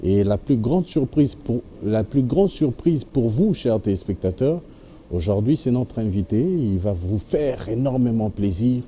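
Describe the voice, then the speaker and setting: A man's voice: mid-range voice, expressive, unhurried, dark tone, slight background noise. A man hosting a TV music news show, speaking in formal announcer phrasing and switching into Lingala. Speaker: TV show host. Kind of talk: TV entertainment news and interview.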